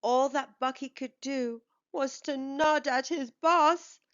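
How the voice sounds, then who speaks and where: A woman reading a rhyming picture book aloud for children in an expressive, deliberate way. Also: sits high, lo-fi sound.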